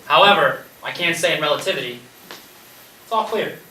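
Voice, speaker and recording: A man's voice: on the high side, expressive, a little fast, bright, forceful tone, distant mic. A man in an amateur stage play acting a wordy, defensive, argumentative young pedant. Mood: matter-of-fact, impatient. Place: indoor stage, recorded at a distance. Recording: echoey stage recording from afar, faint noise.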